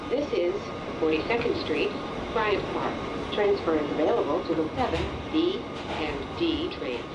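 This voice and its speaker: A woman playing a measured, formal automated subway announcer, reading out a recorded transit announcement. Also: sing-song, highs cut off. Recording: distant, noisy train car speaker. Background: loud rumbling subway train.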